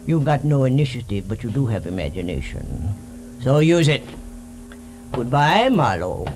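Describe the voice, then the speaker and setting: A man's voice: medium pitch, sing-song, normal speed, over music. A man playing a bloated, simpering, menacing crime boss in 1940s radio style, precise and condescending. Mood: threatening. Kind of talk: 1940s radio villain acting. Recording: noisy old 1940s radio recording.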